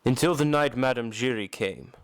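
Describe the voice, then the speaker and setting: A man playing a tormented, embittered masked opera recluse in an audio drama, telling his story in a slow, solemn, dramatic way. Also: mid-range voice, clean tone, no echo, close to an everyday voice. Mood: solemn, reminiscing. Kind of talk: amateur audio drama acting.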